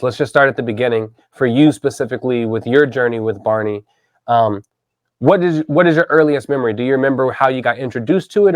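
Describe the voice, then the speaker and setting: A man's voice: medium pitch, dry recording. A man in his thirties hosting a casual podcast interview, enthusiastic and chatty, with lots of "dude" and "man". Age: 30s. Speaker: podcast host.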